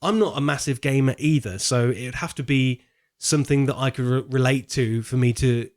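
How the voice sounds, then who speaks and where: A man's voice: mid-range voice, fairly quick. Man chatting casually on a podcast. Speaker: podcast co-host. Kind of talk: casual film discussion.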